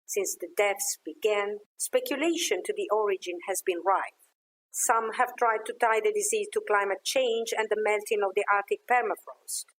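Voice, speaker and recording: A woman's voice: medium pitch, fairly even intonation, fairly bright tone, lightly acted, rough recording. A woman playing an earnest field news reporter in an audio drama, formal and composed. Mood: serious, formal. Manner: formal, measured broadcast delivery.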